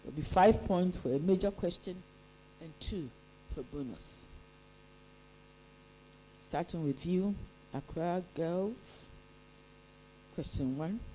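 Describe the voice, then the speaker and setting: Woman running a school quiz, slow and careful, kinda low mellow voice. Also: a little hiss or hum, rough recording. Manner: deliberate, reads each line twice. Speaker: quiz master. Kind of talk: reading contest questions.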